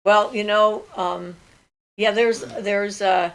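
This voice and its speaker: Gravelly-voiced older woman. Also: mid-range voice, slow, poor audio. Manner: relaxed and chatty, thinking aloud with fillers. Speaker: host of a magic Q&A livestream. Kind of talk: casual livestream chat, reading viewer questions. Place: home.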